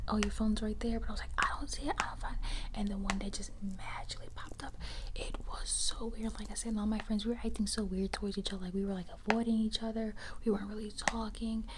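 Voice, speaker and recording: Woman, breathy whisper, ASMR storytime, flat intonation, repeated phrases, loud background noise. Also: medium pitch. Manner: slow, repeats phrases for effect, casual filler-laden storytelling. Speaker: ASMR creator telling a story.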